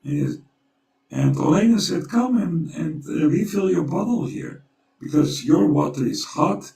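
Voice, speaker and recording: A Dutch-accented man talks slowly in a gravelly, mellow voice. He is earnest and urgent, and circles back to repeat his points. He sounds set back from the mic in an echoey room. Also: a little high, lively intonation, distant mic. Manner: urgent, storytelling, repeats himself. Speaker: co-host. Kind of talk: earnest conversational appeal.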